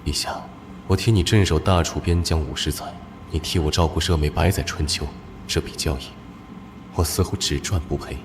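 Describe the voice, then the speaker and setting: An actor playing a stern but doting older brother, a war general, who speaks firmly and protectively. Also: male voice, a bass voice. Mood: resolute. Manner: firm, measured, protective. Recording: background hiss.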